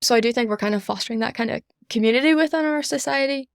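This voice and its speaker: A woman's voice: fairly high pitch, fast, gravelly, clear, resonant tone, no echo, studio quality. A young woman with a Northern Irish accent giving earnest, enthusiastic answers as an interview guest. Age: young adult. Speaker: student society member, podcast guest.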